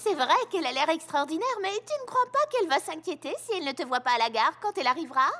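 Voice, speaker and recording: Fashion-proud young cartoon pony lady, refined and fretful, very high pitch, music behind. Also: lively intonation, quick pace. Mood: polite concern. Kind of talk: animated children's cartoon dub.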